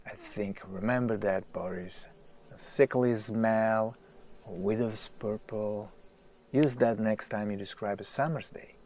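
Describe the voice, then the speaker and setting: An actor playing a famous male writer, agitated, self-deprecating and obsessed with his work, halting and repeating himself in a naturalistic audio drama. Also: fairly low pitch, darkish tone, a little hiss or hum. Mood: intense, brooding.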